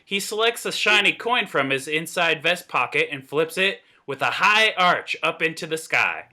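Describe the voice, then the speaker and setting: A man's voice: a little high, expressive, moderate pace, bright, forceful tone. A man reading scripted narration aloud in an informal read-through, with the odd slip and stumble. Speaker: table reader of script narration and dialogue.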